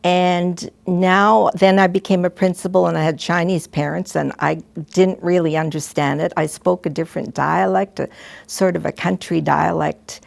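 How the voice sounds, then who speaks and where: Older woman, low gravelly voice. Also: fairly even intonation, dry recording. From Canada. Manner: gentle, halting, with frequent restarts and soft asides. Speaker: retired school principal. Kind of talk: reflective storytelling to students.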